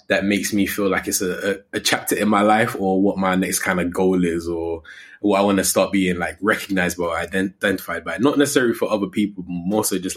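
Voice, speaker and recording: A young English man in his twenties with a low-pitched voice talks as a podcast guest. His speech is informal and slangy, in the easy style of a casual chat about his own work. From Coventry, England. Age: 20s. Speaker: podcast guest, digital creator.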